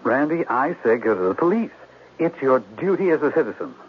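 An actor playing a prim, naive, upright brother in a 1970s radio drama, with fussy, old-fashioned diction. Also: male voice, mid-range voice, sing-song, a little fast, husky. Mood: righteous. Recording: muffled, noisy vintage radio broadcast.

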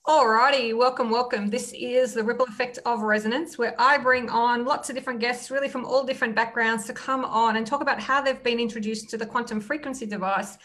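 A woman's voice: a little high, steady tone, a little fast, few pauses, fairly bright tone. A woman hosting an interview series over a video call, upbeat and encouraging, speaking from her living room. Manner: upbeat, encouraging, many short backchannels. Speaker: interview host. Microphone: video call with earphone mic.

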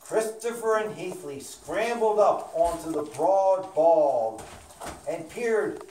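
A narrator presenting a children's puppet show in deliberate, declamatory scripted storybook narration. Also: medium pitch, expressive, warm tone. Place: small indoor meeting room with audience of children. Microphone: distant camera microphone. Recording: echoey room, distant, background hiss.

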